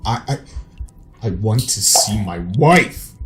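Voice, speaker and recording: An actor playing a frantic captive husband, stammering melodramatically in an old-time radio thriller. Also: male voice, fairly low pitch, expressive, slow pace, over music. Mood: desperate. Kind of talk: 1930s-style radio thriller acting.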